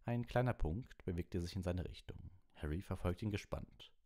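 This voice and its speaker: A man's voice: low voice, moderate pace, dark tone. A man reading a story aloud as an audiobook narrator.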